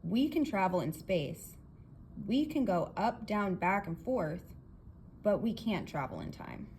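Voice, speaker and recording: A woman reads as a thoughtful doctor in a storybook, a reasonable man weighing ideas. The delivery is measured and deliberate, with some background noise. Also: mid-range voice, big pitch swings, darkish tone. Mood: reasoned objection.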